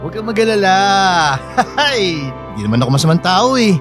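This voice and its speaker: A man's voice: high-pitched, big pitch swings, a little fast. A Filipino man acting a menacing, leering street thug in a radio drama. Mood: menacing, taunting. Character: menacing, leering male street thug who harasses women. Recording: loud music behind the voice.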